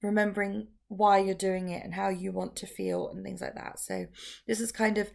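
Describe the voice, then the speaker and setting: A woman teaching on camera, talking through each step in a chatty way with many restarts and ums, her voice a bit croaky. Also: mid-range voice, animated, moderate pace, a little mellow. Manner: chatty, filler-heavy explaining with frequent restarts. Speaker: crystal workshop teacher. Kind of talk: casual how-to video.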